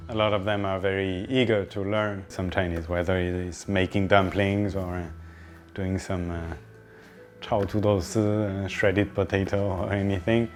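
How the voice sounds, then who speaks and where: Low-pitched French man explaining, music behind. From northern France. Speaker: chef being interviewed. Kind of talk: informal interview testimony.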